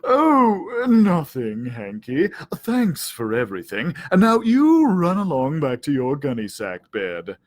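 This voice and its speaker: A man voicing a sly, droll male cat in a storybook, with a slow, mock-gloomy drawl. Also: a little high, huge pitch swings, exaggerated voice. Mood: falsely sweet.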